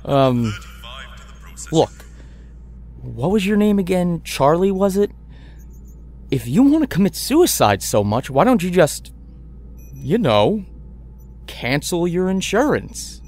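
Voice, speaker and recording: A man's voice: sits high, big pitch swings, takes it slowly, long pauses, darkish tone, full character voice. An actor playing a flustered, hesitant, polite everyman customer in a comedy audio drama. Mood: puzzled. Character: flustered, bewildered everyman insurance customer named Ed.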